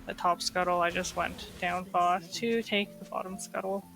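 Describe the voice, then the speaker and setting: A woman's voice: fairly low pitch, level tone, normal speed, gravelly, fairly bright tone, faint music behind. A woman with a slightly nasal, stuffy voice from being sick, chatting casually over her gameplay with lots of fillers. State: recovering from illness, slightly stuffy. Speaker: gaming streamer.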